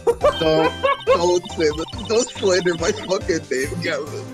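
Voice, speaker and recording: A woman's voice: lowish voice, huge pitch swings, slow, over music. A woman bantering casually on a game stream, joking and crude in her way of talking. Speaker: streamer voicing game characters.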